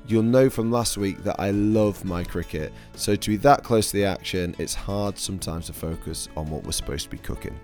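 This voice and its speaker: A man with a croaky, worn voice, a chef hosting his own podcast in upbeat scripted narration. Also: low-pitched, normal speed, few pauses, darkish tone, faint music behind.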